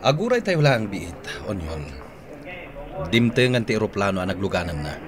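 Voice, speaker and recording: A man's voice: lowish voice, big pitch swings, normal speed. A man playing an irritable, bossy gang boss in a radio drama, with an Ilocano accent. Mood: irritated, bossy. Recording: crowd noise behind voice. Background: crowd.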